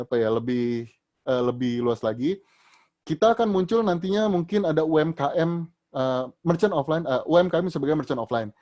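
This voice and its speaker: A man's voice: mid-range voice, darkish tone. A man leading an online training session, answering audience questions in a casual explaining manner with many fillers and restarts, his voice rising sharply at the start of each answer.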